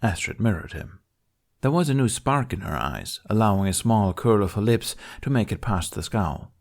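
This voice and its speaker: A man reading a fantasy story aloud in an expressive audiobook style. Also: on the low side, moderate pace, creaky. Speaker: fan fiction narrator.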